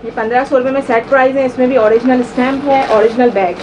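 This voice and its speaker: A woman with a Karachi Urdu accent, a shop owner, brisk and matter-of-fact as she names each piece. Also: high-pitched, fairly even intonation. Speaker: shop owner selling wholesale suits. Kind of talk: casual sales talk. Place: busy clothing shop indoors. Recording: dull noisy shop audio.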